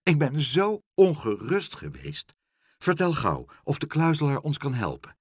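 A man's voice: a little high, wildly swooping pitch, measured pace, husky, no echo, studio quality, pitched above the performer's own voice, heavily acted. Man acting Meneer Pen, a fretful shopkeeper. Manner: fretful, questioning, hesitant. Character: Meneer Pen, anxious gentle male shopkeeper.

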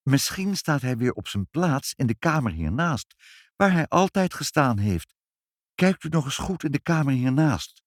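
A man voicing an anxious, gentle mother in a storybook, speaking in an earnest, pleading way. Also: mid-range voice, expressive, measured pace, no echo, studio quality, voice pushed up. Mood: timid. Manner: timid, earnest.

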